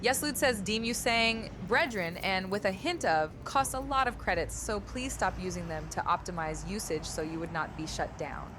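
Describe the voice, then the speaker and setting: A synthetic female text-to-speech voice reading viewer messages and its own overly formal replies in a flat way. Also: medium pitch, moderate pace, hardly any pauses, background noise.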